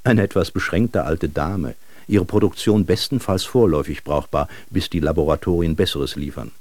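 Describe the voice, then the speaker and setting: A world-weary travelling salesman telling his story in a literary radio drama. The man's voice is very low and mellow, recorded dry and close, with a wry, reflective delivery. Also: moderate pace, no room sound. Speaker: narrator and lead actor.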